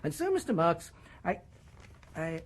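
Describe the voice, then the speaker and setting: An actor playing a stern, pompous middle-aged male director in a radio drama, husky and formal. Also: on the high side, sing-song, measured pace, slight background noise. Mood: reproving. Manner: reproving, then drifting into a hushed memory. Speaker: Director, a superior official. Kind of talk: 1990s radio drama acting.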